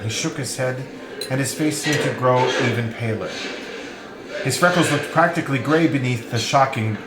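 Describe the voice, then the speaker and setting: Male narrator, scripted novel reading, literary storytelling, mid pitch, roomy echo. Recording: roomy, echoing sound.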